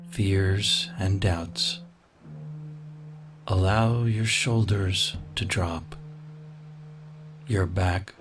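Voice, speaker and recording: Slow, deep-voiced male meditation guide. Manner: slow, soothing, gently paced instructions. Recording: soft music clearly behind the voice.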